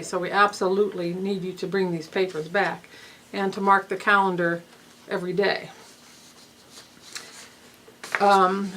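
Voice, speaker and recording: A woman's voice: medium pitch, a bit bright, slight background noise, rough recording. A woman coordinating a research study, patiently explaining participant forms step by step, slightly stuffy-nosed, with occasional ums.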